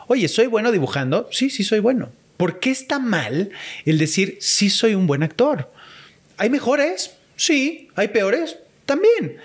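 A man's voice: high-pitched, big pitch swings, slow pace, slightly rough. A man in his thirties hosting a casual interview, answering eagerly and expressively. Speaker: podcast host. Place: high-rise room with big windows. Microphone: boom-arm studio mic.

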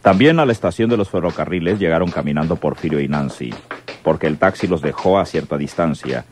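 A man's voice: extremely low pitch, lively intonation, fairly quick, darkish tone, slight background noise. A man with a Mexican accent narrating a scripted radio serial.

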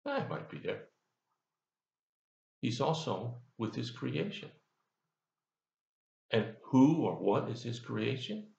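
Older man, gravelly folksy devotional. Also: medium pitch, roomy sound, dull audio. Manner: folksy, conversational, asks the listener questions. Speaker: pastor. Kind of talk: devotional sermon. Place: office, indoors, seated in leather chair.

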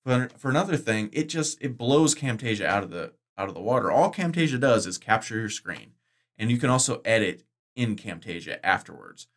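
A middle-aged man vlogging about course-making software, chatty and enthusiastic, his voice bass-boosted with the breaths filtered out. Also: big pitch swings, brisk. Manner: chatty, enthusiastic, loose with fillers and restarts. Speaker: online course creator. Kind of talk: casual explanatory vlog. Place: indoors, green-screen studio.

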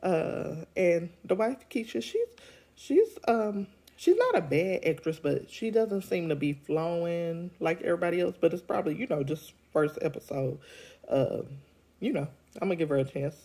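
A middle-aged woman with a deep, congested voice, chatting casually through a show review with lots of ums and laughs. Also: animated, moderate pace, mellow, breathy edge. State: congested from a cold. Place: indoors at home. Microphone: phone held at arm's length.

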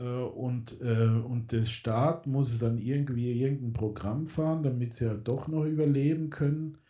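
A man's voice: medium pitch, warm tone. A man in casual political radio talk, rambling with many fillers and restarts. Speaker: guest.

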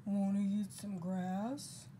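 A woman's voice: medium pitch, steady tone, slow, warm tone, slight background noise, close to an everyday voice. A woman voicing friendly storybook farm animals with inviting animal calls.

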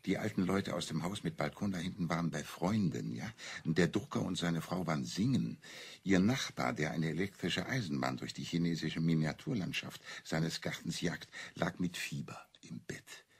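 Dryly logical clergyman in crime radio play, deadpan, deep and creaky. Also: normal speed, heavily acted. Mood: dryly summarising. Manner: deadpan, ironic questioning. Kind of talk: German radio crime drama dialogue.

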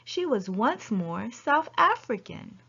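A woman narrates a rhyming picture book for children at an unhurried pace. Her delivery is expressive, with animated rises and falls. The recording is slightly muffled, with music softly behind her. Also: on the high side, vocal fry, slightly rough. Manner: expressive, deliberate storytelling delivery. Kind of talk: read-aloud rhyming children's story narration. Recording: dull lo-fi audio with music behind.

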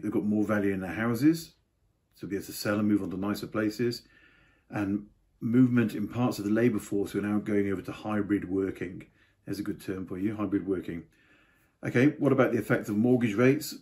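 A man's voice: lowish voice, a little mellow. An older man giving a casual market update to camera from a home study, with offhand asides. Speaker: property market commentator.

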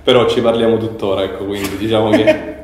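A young man in his twenties answering interview questions in a measured, hesitant way, with many fillers and restarts. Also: fairly low pitch, steady tone, a little mellow, slight background noise. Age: 20s. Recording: very echoey bare room.

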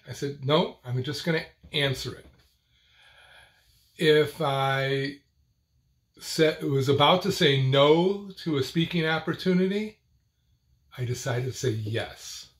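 A man in his sixties hosting a video series on stuttering, speaking to camera in slow, deliberate phrases with pauses and the odd repeated word. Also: on the high side. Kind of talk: personal talk to camera. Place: small indoor room at home.

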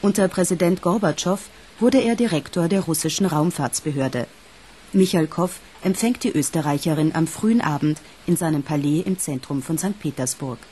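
An actress playing a sober, factual documentary narrator in a radio mystery, measured and matter-of-fact. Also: female voice, low-pitched, dark tone, husky.

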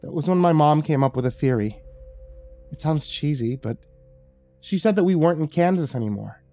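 A man's voice: on the high side, lively intonation, moderate pace, warm tone, soft background music, pitched above the performer's own voice. A man voicing a young woman in a spoken horror story, bitter and full of guilt as she recounts a loss. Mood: grieving.

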